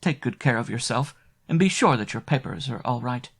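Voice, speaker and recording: A man's voice: mid-range voice, lively intonation, normal speed, pitched above the performer's own voice. A man voicing a tender, anxious young inn maid in a storybook reading. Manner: soft, urgent, breathless warnings.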